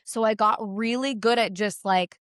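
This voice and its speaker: A woman's voice: medium pitch, normal speed, no room sound, studio quality. A woman in her thirties telling stories in a rambling, casual chat, often restarting her sentences. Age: 30s. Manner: rambling, restarts often, animated when quoting others. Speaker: podcast co-host.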